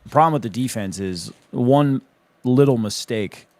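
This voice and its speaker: A man's voice: medium pitch, normal speed, a little mellow, no room sound. A man co-hosting a casual sports talk show, blunt and wry. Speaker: sports podcast co-host.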